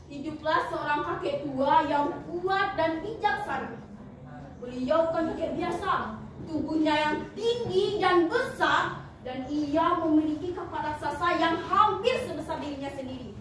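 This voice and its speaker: A teenage girl from West Sumatra performing a folk tale, lively and dramatic, talking straight to her audience. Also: extremely high pitch, normal speed. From West Sumatra, Indonesia. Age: teen. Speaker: student storyteller. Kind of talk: expressive storytelling performance. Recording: echoey hall, from far off, noisy.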